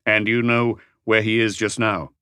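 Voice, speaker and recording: A man voicing a calm police chief inspector, asking probing questions in a measured, unhurried way in an audiobook. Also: fairly low pitch, clear, resonant tone, no room sound, clean studio sound.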